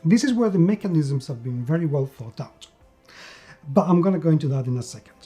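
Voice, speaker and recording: A man giving a conversational tabletop game review, emphatic, with repetition and dramatic pauses. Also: on the high side, lively intonation, dark tone. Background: background music.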